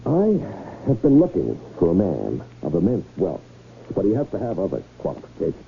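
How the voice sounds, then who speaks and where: A wily American swindler in an old radio drama, deep and gravelly with big theatrical swoops of pitch. He coaxes and teases in formal, flowery phrases. The sound is a muffled vintage broadcast. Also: wildly swooping pitch, a little fast, a little hiss or hum, heavily acted. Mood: eager pitching. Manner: florid, insistent, teasing, formal phrasing. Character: smooth, theatrical male con man, persuasive and wily.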